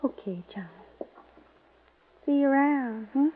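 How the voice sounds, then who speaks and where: An actress playing an unhappy, money-hungry wife in a languid, flirtatious 1950s radio drama style. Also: female voice, high-pitched, expressive, unhurried, phone-quality sound, a little hiss or hum. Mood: resigned, pleading. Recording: band-limited old 1950s radio recording.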